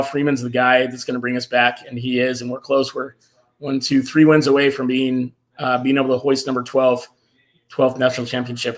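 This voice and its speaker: A man hosting a casual fan live stream, excited and a little hoarse from cheering, reading out viewer comments. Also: medium pitch. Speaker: fan show host.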